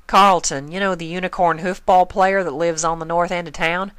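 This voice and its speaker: Man putting on an earnest, high young farm-girl pony. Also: steady tone, moderate pace, a bit bright, clean tone, no room sound. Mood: hurried.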